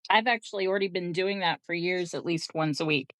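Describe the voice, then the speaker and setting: Clear-voiced woman, matter-of-fact, closely recorded. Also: lowish voice, a little fast, a bit bright, no room sound. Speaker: podcast panelist. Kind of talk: casual group discussion.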